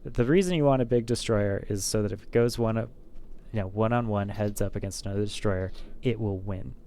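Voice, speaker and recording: A man's voice: on the low side, brisk, dark tone, a little hiss or hum. A man streaming a naval battle game, talking casually and thinking aloud with many fillers and restarts. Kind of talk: casual gameplay commentary.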